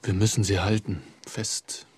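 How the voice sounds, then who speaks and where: Actor as low-voiced, brooding merchant senator. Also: male voice, fairly even intonation, measured pace, a little mellow, slight background noise, natural voice. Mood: brooding, weary. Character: melancholy middle-aged merchant senator, weary, brooding. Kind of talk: German radio drama acting.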